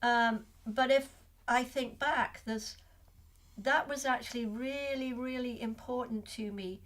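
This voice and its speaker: Older woman, Liverpool accent, reflective and chatty, higher voice. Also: steady tone, measured pace, a bit bright. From Liverpool, England. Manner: reflective, chatty, many restarts. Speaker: guest. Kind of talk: casual interview.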